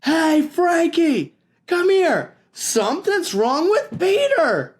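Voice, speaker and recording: A man's voice: very high voice, sing-song, slow, voice pushed up, full character voice. A man voicing a curious, pushy young boy in a children's story, eager and insistent with his questions. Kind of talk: children's storybook read-aloud.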